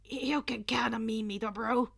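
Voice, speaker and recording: A woman's voice: on the high side, fairly even intonation, moderate pace, gravelly, a bit bright, husky, no room sound, clean studio sound, full character voice. Woman playing a chummy, loyal teenage boy hero. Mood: earnest. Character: loyal, easygoing teenage boy hero using buddy nicknames.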